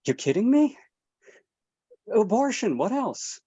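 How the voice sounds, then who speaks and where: A man's voice: high-pitched, big pitch swings, takes it slowly, dark tone, slightly rough, heavily acted. An actor reading a panicked fifteen-year-old boy who stammers nervously at a table read. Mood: pleading. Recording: dull lo-fi sound.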